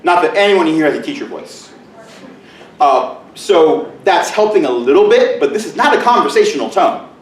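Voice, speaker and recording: A middle-aged male teacher giving a conference presentation in a loud, booming voice with joking asides, recorded from far off in a large room. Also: expressive, normal speed, echoey room. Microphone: camera mic from far off.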